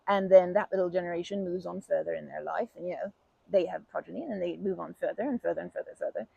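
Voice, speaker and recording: A woman voicing a kindly, chatty old grandma who rambles gently in a folksy, soothing way for an ASMR comfort roleplay. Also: fairly low pitch, quick pace, no echo, dull audio, full character voice. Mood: chatty, rambling. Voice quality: creaky, breathy.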